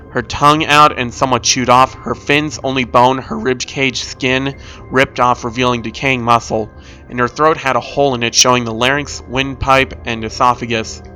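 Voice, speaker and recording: A man's voice: medium pitch, bright, forceful tone, low-quality recording. A man reading a scripted first-person horror story as its narrator.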